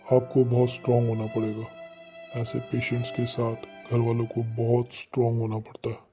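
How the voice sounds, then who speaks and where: An actor playing a doctor who calmly and gravely gives a family terrible news. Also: male voice, mid-range voice, natural voice. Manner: grave, measured, consoling. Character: calm hospital doctor delivering bad news. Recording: faint music behind.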